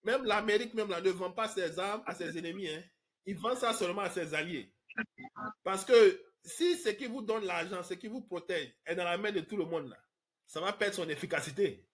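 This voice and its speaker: A man's voice: high-pitched, moderate pace, fairly bright tone, breathy edge. A man from Côte d'Ivoire, hosting a live online question-and-answer talk in an emphatic way. He repeats words and drops English fillers. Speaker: trading course host answering questions.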